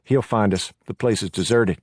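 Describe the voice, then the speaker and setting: A man's voice: on the low side, moderate pace, darkish tone, no room sound, studio quality, close to an everyday voice. A man voicing an uneasy young male accomplice who talks in a hesitant, questioning way.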